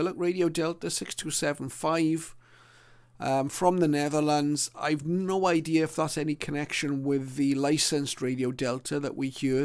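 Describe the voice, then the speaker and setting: A middle-aged or older man presenting a casual illustrated hobby talk in a hesitant, unscripted way with frequent pauses. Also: on the high side, darkish tone, clean tone.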